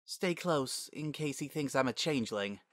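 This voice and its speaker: A man puts on a young female pony from a storybook, anxious and full of feeling. The voice is pitched well up, hesitant and quivery, in a dry recording. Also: expressive, normal speed, no room sound, lightly acted. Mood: nervous. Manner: hesitant, quivering when emotional.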